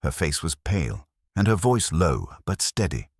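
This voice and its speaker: A man's voice: sits low, very animated, normal speed, darkish tone, husky, dry recording, clean studio sound. A man giving scripted narration of a detective story as an audiobook narrator.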